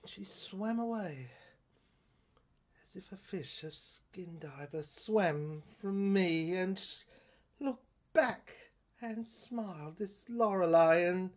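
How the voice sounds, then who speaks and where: A woman performing a dramatic literary monologue in a theatrical, intense and halting way, in broken phrases. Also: fairly low pitch, darkish tone. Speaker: performer reading a serial screenplay.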